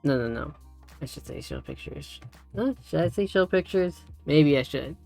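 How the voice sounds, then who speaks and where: Creaky-voiced host thinking aloud through a tutorial. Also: medium pitch, huge pitch swings, normal speed, a little mellow. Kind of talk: casual live tutorial. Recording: music playing behind.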